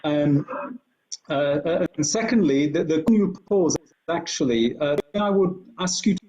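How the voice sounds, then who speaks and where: A man speaking as a guest on a talk show, in an earnest, halting way with frequent restarts. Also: on the high side, expressive, dark tone, slightly rough, low-quality recording. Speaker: studio guest.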